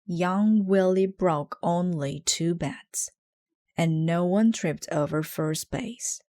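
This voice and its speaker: Female children's story reader, lowish pitch, very unhurried delivery, dry sound. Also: mellow, no echo. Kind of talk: read-aloud children's story narration.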